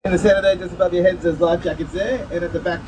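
A man's voice: sits high, steady tone, quick pace. Distant Australian male tour guide, noisy vehicle. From Gold Coast, Australia. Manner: informal, chatty. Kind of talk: live tour commentary. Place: on a moving amphibious tour vehicle. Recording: distant mic, clear vehicle and outdoor noise.

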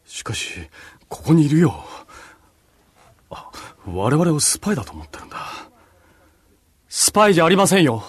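A man's voice: fairly high pitch, very animated, moderate pace, pauses often, slightly rough, no room sound. A man playing a reflective, devout disciple on a journey in a radio drama, speaking earnestly. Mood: wary. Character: reflective, devout male disciple, Cleopas's travelling companion.

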